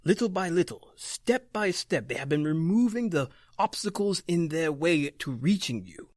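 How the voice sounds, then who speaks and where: An actor playing a solemn, authoritative older man in old-time radio horror, measured and portentous. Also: fairly high pitch, lively intonation, no echo, studio quality, lightly acted. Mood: ominous.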